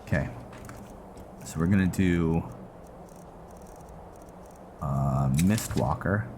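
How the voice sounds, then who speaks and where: A man giving casual, rambling gameplay commentary, halting often as he thinks aloud. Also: sits low, a little mellow, a little hiss or hum. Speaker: gaming video host. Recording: music behind the voice. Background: game music.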